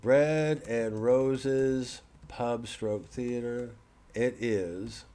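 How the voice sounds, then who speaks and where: A man's voice: medium pitch, steady tone, very slow, dark tone. A male comedian casually promoting a live show in a rambling, digressive way, with a wry, mock-earnest delivery.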